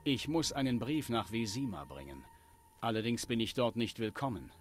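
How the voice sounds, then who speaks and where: Man playing a courteous, lively-voiced fantasy outcast. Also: medium pitch, moderate pace, a little hiss or hum, close to an everyday voice. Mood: courteous, guarded. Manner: formal, courteous. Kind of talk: video game dialogue acting.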